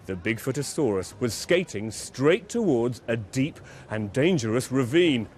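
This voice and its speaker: A man telling a children's bedtime story in an expressive, dramatic way, leaning on key words. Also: medium pitch, measured pace, slight background noise.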